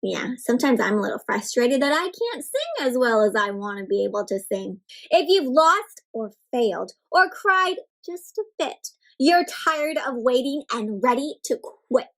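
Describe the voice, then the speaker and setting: A woman's voice: sits high, sing-song, moderate pace, few pauses, low-quality recording. A woman hosting an interactive picture-book read-aloud, speaking expressively to children with exaggerated gasps and frequent questions.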